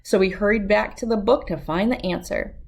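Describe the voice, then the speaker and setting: A woman reading a children's story aloud as narrator, with expressive, dramatic and deliberate phrasing. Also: medium pitch, vocal fry.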